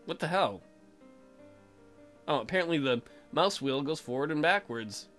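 Animated bright-voiced man doing game commentary. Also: fairly high pitch, moderate pace, soft background music. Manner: crude joking, profane. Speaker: gaming video host. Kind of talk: let's play commentary, reading dialogue aloud.

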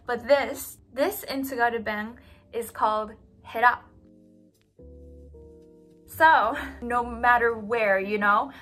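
A young woman from Japan chatting to the camera in her bedroom, animated and giggly, full of "like" and "you know". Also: on the high side, slow, long pauses, soft background music. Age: young adult. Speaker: vlogger. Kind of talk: casual chat to camera. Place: small bedroom indoors.

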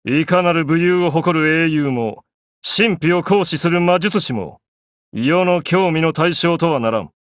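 An actor playing an arrogant, grandiose young pharaoh in an anime game, declaiming in an imperious, pompous way. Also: male voice, a little high, a little slow, dry recording, studio quality, close to an everyday voice. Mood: grand, haughty.

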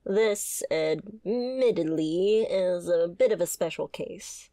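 A woman's voice: on the low side, slow, dark tone, no room sound. A woman playing a wry, flirtatious female mafia boss with a sardonic, conversational manner in a scripted ASMR roleplay. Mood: wry, explaining.

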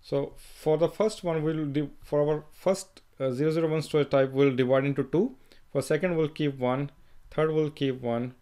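A man talking through a screen-recorded software tutorial, thinking aloud as he works, with fillers and restarts. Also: on the high side, fairly even intonation, normal speed, smooth. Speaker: software trainer.

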